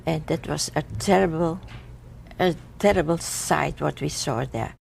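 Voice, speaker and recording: An older woman recounting a memory haltingly in English, with foreign word order, in an interview. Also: deep voice, creaky, a little mellow. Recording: archival TV interview, faint noise.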